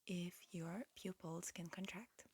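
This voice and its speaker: A woman performs a whispered ASMR medical exam roleplay in a soft, fairly low voice. She speaks very slowly and carefully, like a calm examiner explaining each step. The microphone is close, with a faint hiss behind her. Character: calm, attentive alien examiner disguised as a human.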